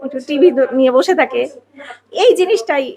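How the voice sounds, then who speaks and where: Very high-voiced Bangladeshi woman, casually chatting. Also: animated, fairly quick, a little mellow. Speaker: mother being interviewed. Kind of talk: casual interview answers.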